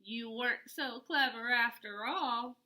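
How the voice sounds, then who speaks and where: A woman voicing a sly, boastful male wolf in a children's story, with taunting, drawn-out threats. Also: a little high, sharp tone. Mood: gleeful.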